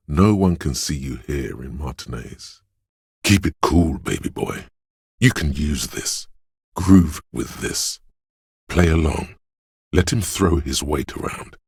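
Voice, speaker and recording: A man voicing a slick, suave inner voice that narrates a game in the second person, measured and wryly theatrical. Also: a little mellow, no room sound, studio quality, exaggerated voice. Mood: sly, goading. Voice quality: very deep, husky.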